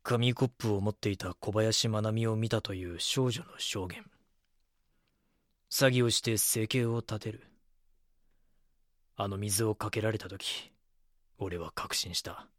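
A man's voice: on the low side, unhurried, long pauses, no echo, studio quality, lightly acted. A man delivering solemn scripted narration for a true-crime audio drama. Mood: grave, certain.

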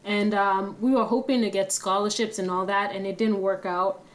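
A young woman from Kenya, a guest telling her own story in a friendly, steady way. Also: medium pitch, normal speed. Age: young adult. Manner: friendly, steady, laughs easily. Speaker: guest, app founder.

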